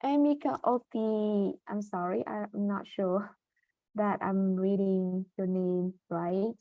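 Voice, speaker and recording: A woman's voice: medium pitch, fairly even intonation, takes it slowly, no room sound, narrow, tinny audio. A woman host runs an online Q&A in polite, procedural with a lilt and the odd hesitation. Her voice sits in a middle register and sounds dry and close to the mic, as on a video call. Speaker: webinar moderator. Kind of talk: hosting a webinar Q&A.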